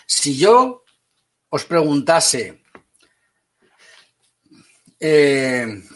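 A man from Spain with a Spanish accent teaching an online class, brisk and teasing, calling on students by name. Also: a little high, big pitch swings, fairly bright tone.

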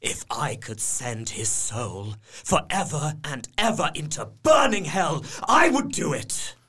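A seething young husband. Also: male voice, fairly high pitch, big pitch swings, takes it slowly, pushed and bright, breathy edge, full character voice. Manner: bitter, seething vow.

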